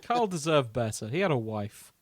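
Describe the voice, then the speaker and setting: A man's voice: mid-range voice, very animated, normal speed, no room sound, studio quality. A British man co-hosting a podcast in casual, jokey banter. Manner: jokey, prone to laughing. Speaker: podcast co-host.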